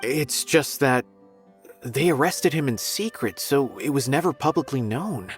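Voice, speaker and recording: A man's voice: mid-range voice, lively intonation, a little slow, big-room sound, soft background music, natural voice. A man voicing an earnest, polite academy officer in a video game, explaining things in a friendly, candid way. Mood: surprised. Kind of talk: video game dialogue acting.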